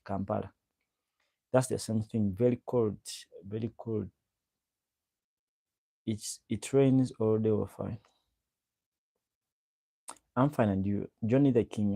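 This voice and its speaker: A man's voice: fairly low pitch, vocal fry, mellow, husky, no room sound. A young man from Uganda hosting a casual livestream, greeting viewers by name and repeating his questions. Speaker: livestream host. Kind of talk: casual livestream chat reading comments.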